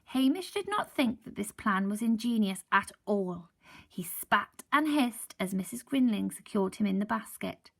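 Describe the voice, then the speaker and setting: A woman primary school teacher reads a picture book aloud to her class. She pauses for gentle, soft asides to ask the children questions. Her voice has a light gravelly texture. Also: medium pitch, lively intonation.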